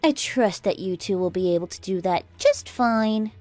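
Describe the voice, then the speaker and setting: A woman's voice: mid-range voice, lively intonation, moderate pace, darkish tone, no echo, soft background music, close to an everyday voice. A woman playing an entitled, self-serving young married woman in a radio drama, with a dramatic, easily flustered delivery. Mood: smug, sweet. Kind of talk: scripted radio drama acting.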